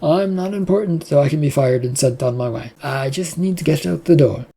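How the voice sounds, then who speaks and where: A narrator voicing a defiant, dryly polite male employee who has been fired, in a husky storybook reading. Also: mid-range voice, brisk, dark tone. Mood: politely insistent.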